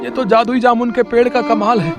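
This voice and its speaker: Humble storybook villager, played by a man: very high male voice, earnest, eager, music underneath. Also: steady tone, a little fast.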